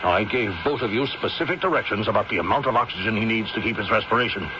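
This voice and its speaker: An actor playing a stern, concerned doctor in a 1970s radio drama, speaking with authority and urgency. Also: male voice, lowish voice, quick pace, close to an everyday voice. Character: stern, concerned male family doctor, commanding with careless nurses. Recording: dull, noisy old radio broadcast recording.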